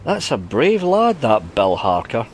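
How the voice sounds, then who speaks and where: A man acts out a crusty devil from a storybook sea yarn. He is a gruff, scheming bargainer who talks in plain colloquial turns, and his pitch moves in lively swings. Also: measured pace, noisy background. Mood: admiring. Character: the devil, male, crusty but easily delighted schemer.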